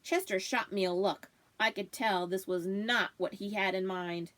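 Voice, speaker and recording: A woman's voice: mid-range voice, steady tone, unhurried, a bit bright, lightly acted. A woman voicing a wry, food-loving family dog who narrates his own storybook tale in a confiding manner. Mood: musing.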